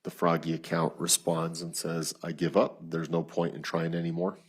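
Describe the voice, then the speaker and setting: A man testifying as a detective in court, reading written messages aloud in a flat, procedural, matter-of-fact way with a husky voice. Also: sits low, normal speed. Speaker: lead detective testifying as witness.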